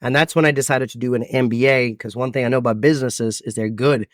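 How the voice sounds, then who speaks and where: A man's voice: mid-range voice, no room sound. Male guest, thirties, American accent, expansive storyteller, close dry mic. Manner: expansive, animated storytelling with dramatic pauses. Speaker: podcast guest, event MC. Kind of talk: storytelling interview answers.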